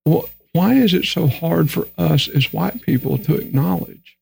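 Man, Texan accent, very gravelly, husky, earnest panel guest. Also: on the high side, expressive, a little slow, darkish tone, no room sound. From Texas. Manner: earnest, emphatic, acts out quoted voices. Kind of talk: candid discussion panel talk.

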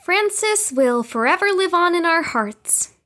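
Woman voicing an eager, very high-pitched young girl. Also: expressive, measured pace, bright, forceful tone, full character voice. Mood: friendly, curious. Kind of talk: audio drama dialogue.

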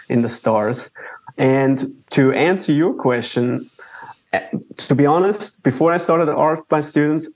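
Man speaking English, casual. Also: medium pitch. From Switzerland. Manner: relaxed, conversational, with small grammar slips. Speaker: guest entrepreneur. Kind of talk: interview answers.

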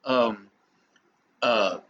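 A man's voice: lowish voice, very slow, pushed and bright. A man co-hosting a podcast in loose, profane banter, teasing his guests and talking over them. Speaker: podcast co-host. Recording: dull lo-fi podcast audio with faint noise.

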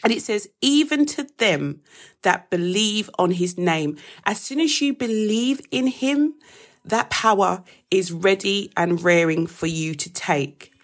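A woman with a croaky voice hosting a live daily devotional, praying and then teaching a Bible verse in a casual, chatty way. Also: mid-range voice, expressive, measured pace. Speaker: devotional host.

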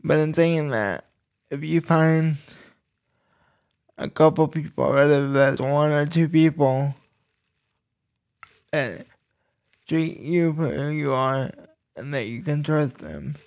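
Male podcast host, personal talk; very slow, halting delivery in short bursts, gravelly fry, slightly high-set voice. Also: a little mellow.

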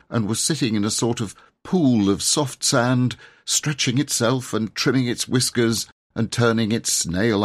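Husky guy reading a kids audiobook, very animated, leans on words for drama. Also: fairly low pitch. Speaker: audiobook narrator. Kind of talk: expressive storybook narration.

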